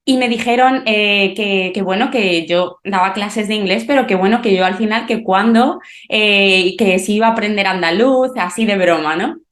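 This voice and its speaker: Woman from Madrid with a Madrid accent, echoey room. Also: mid-range voice. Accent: Madrid Spanish. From Madrid, Spain. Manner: animated storyteller with frequent restarts. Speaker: English teacher and guest. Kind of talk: casual podcast conversation.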